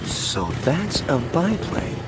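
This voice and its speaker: A man's voice: fairly high pitch, sing-song, slow pace, very noisy. A man playing a dutiful, deferential American air force corporal in a radio drama, businesslike and respectful. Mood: uncertain, respectful.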